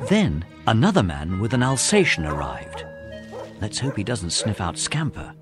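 Man narrating, lively pitch. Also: normal speed, loud music behind the voice. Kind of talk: scripted children's story narration.

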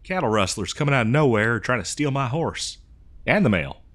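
A man's voice: mid-range voice, animated, a bit bright, close to an everyday voice. A man playing a dim, good-natured cowboy mail rider in a comedy roleplay, rambling hesitantly in an American cowboy drawl. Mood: chatty, sheepish. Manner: rambling, hesitant, folksy, slow-witted. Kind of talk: improvised comedy audio roleplay.

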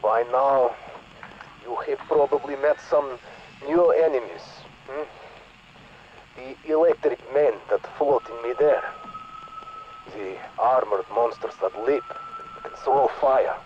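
Man voicing a Russian radio ally, earnest, Russian accent, very slow, muffled lo-fi sound. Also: medium pitch, a little hiss or hum, natural voice. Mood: wry warning. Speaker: in-game radio character. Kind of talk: recorded game dialogue.

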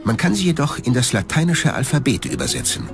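Guy narrating a documentary, lively intonation, music under it. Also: mid-range voice, a little fast. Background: background music.

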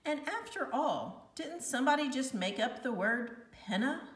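A woman's voice: high voice, very animated, measured pace, pitched above the performer's own voice. A woman voicing an earnest, plucky fifth-grade schoolboy in a children's storybook, hesitant yet determined. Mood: earnest, defensive. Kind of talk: children's storybook read-aloud. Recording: echoey room, distant mic.

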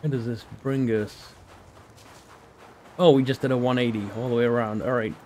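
A man with a sore, slightly hoarse throat, casually commenting on his own gameplay as a channel host. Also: medium pitch, normal speed, a little hiss or hum. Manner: relaxed, chatty, thinking aloud.

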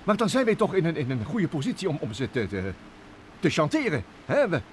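A hesitant, stammering professor scientist in a vintage radio drama. His pitch swings widely, and he sounds eager and anxious. A faint hiss sits under the old recording. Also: huge pitch swings, a little fast. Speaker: professor piloting a mini submarine. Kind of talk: vintage Dutch radio play.

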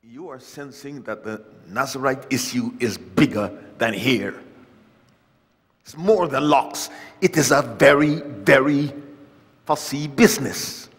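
An older man preaching a sermon at a church lectern, with wry humor, reading scripture loudly and dropping to quiet asides. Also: medium pitch, slow, husky. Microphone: lectern mic, far camera. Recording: long church hall reverb.

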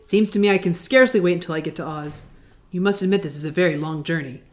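A woman's voice: on the low side, fast talker, lightly acted. A woman voicing a plaintive, formally spoken tin woodman in a storybook reading. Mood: impatient, plaintive.

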